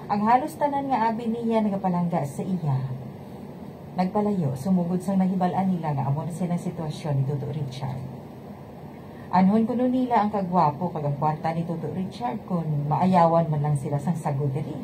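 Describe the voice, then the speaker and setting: A woman's voice: lowish voice, normal speed, noisy background, close to an everyday voice. A woman playing a devoted, protective middle-aged household nanny in a radio drama, talkative, with a Hiligaynon Filipino accent. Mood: fond, concerned. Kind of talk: radio romance drama acting.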